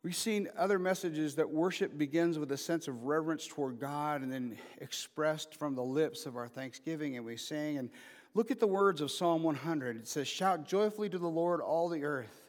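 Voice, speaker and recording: A man's voice: a little high, normal speed. A man in his fifties preaching an informal sermon as pastor, mixing joking asides with emphatic calls to the congregation. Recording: preached in a reverberant hall.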